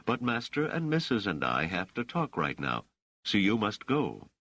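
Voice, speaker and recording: A man's voice: on the low side, wildly swooping pitch, a bit bright, no echo, muffled recording, pitched above the performer's own voice, full character voice. A man voicing a self-assured middle-aged woman ruler, gracious and commanding, in an audiobook story. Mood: kindly firm. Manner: poised, formal, measured phrasing.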